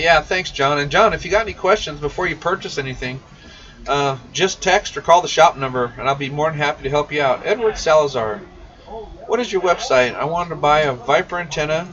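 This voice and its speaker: A man's voice: on the high side, a little fast, fairly bright tone. A man hosting a casual shop livestream, chatting in a folksy, slangy way as he answers viewers' questions. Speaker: host of a CB radio shop live stream. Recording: muffled, noisy, distant-mic livestream audio.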